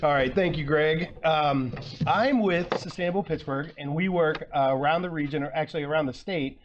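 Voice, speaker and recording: A man presents at a conference with an earnest delivery, often restarting a phrase or bridging points with fillers. His voice sits a little higher than most men's. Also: normal speed, lo-fi sound, a little hiss or hum. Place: conference room.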